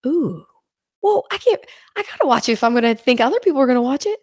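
A woman's voice: high voice, sing-song, quick pace, dry recording, studio quality. A woman hosting a podcast in casual chat, nasal from a cold, bubbly and laughing often. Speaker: podcast host. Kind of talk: casual conversational interview.

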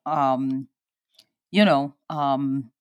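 Older woman from guyana and trinidad, thinking back slowly, lots of ums, dry close sound. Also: rumbling low, fairly even intonation, dry recording. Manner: hesitant, reflective, many pauses and fillers. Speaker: guest. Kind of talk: personal interview.

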